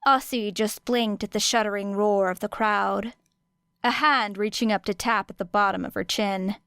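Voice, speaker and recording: A woman reading a story as an audiobook narrator, with expressive, dramatic phrasing and deliberate pauses. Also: medium pitch, smooth, no room sound, clean studio sound. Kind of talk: expressive scripted fiction narration.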